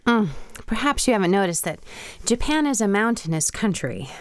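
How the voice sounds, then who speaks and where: A woman's voice: mid-range voice, very animated, moderate pace, no echo, clean studio sound, lightly acted. An actress playing a proud, coy young Japanese woman who teases and tells tales with precise wording in a radio play. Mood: playful, teasing.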